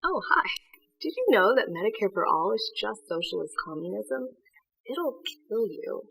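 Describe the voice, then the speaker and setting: A woman's voice: mid-range voice, very animated, moderate pace, vocal fry, a bit bright, breathy edge, far from the mic, exaggerated voice, low-quality recording. A woman playing a mock-friendly, menacing propagandist saleswoman in a comic educational sketch, recorded off-mic. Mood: mock-cheerful menace. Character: chirpy, menacing alternative-facts propagandist saleswoman.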